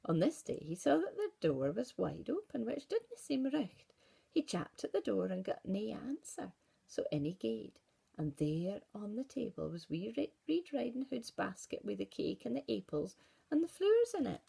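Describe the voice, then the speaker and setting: A woman teacher with a Scottish accent reading a storybook aloud to pupils and asking gentle questions. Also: on the high side, big pitch swings, moderate pace, gravelly, slightly rough. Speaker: primary school teacher.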